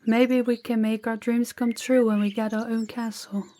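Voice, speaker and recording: A woman playing a gentle, candid young princess in a roleplay audio drama, speaking softly and confidingly to the listener. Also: fairly high pitch, level tone, moderate pace, natural voice. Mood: frank, reassuring.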